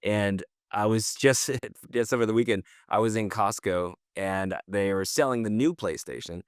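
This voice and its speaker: A man's voice: fairly low pitch, normal speed, smooth, dry recording, clean studio sound. A man co-hosting a podcast in casual conversation, giving slangy opinions and thinking aloud. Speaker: podcast co-host.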